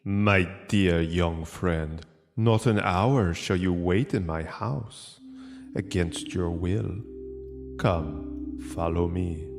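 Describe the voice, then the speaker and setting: A man's voice: deep voice, exaggerated voice. A man playing a courteous, menacing aristocratic vampire in a gothic audio drama, speaking with cold deliberation. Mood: silky, menacing. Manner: slow, deliberate, coldly courteous. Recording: long cavernous reverb, music underneath.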